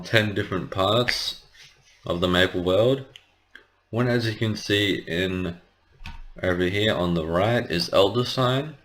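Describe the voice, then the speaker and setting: A man giving a casual, rambling let's play commentary, hesitant and often correcting himself as he explains. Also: lowish voice. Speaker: gaming video host.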